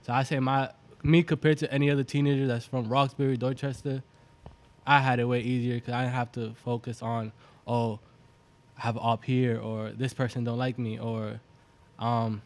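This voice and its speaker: A young man from Boston who talks loosely, circling back with plenty of "like" and "um." His voice sits at an everyday middle pitch. It sounds like an easygoing podcast guest. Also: normal speed. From Boston, USA (born in Venezuela). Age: 20s. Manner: relaxed, rambling, many fillers. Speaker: guest, clothing brand founder. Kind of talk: casual interview chat.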